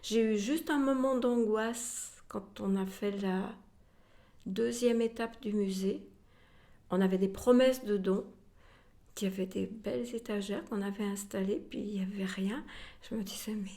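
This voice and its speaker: Woman in a halting conversational interview. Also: medium pitch, a little mellow. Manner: halting, repeats words, trails into asides. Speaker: museum representative being interviewed.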